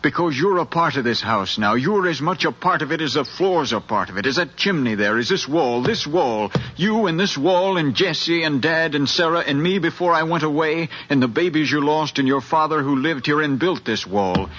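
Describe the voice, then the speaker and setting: An actor playing an earnest, insistent middle-aged son pleading with his mother, in a deliberate, impassioned American radio drama style from the 1950s. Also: fairly high pitch, expressive, fairly bright tone. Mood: earnest, tender. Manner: impassioned, deliberate, speechlike with repeated phrases. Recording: dull 1950s radio recording, music.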